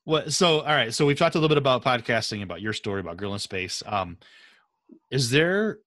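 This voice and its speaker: A man hosting a podcast in casual conversation, feeling his way haltingly into each question. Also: medium pitch, lively intonation, a bit bright, no echo, studio quality. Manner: halting, circles around questions. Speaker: podcast host, interviewer.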